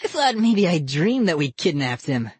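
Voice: mid-range voice, sing-song, normal speed, husky, no echo. A narrator voicing a smug, giggly prankster boy in an audiobook. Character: boastful prankster boy Vinny.

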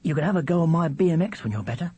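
Man as a kindly, husky schoolboy big brother. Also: a little high, lively intonation, racing, mellow, no echo. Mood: generous. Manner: kindly, hesitant, understated. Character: kindly older brother, a schoolboy, slightly lofty.

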